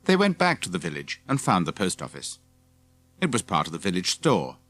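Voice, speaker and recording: A man's voice: low voice, huge pitch swings, a little fast, fairly bright tone. A man reading scripted children's story narration as an audiobook narrator.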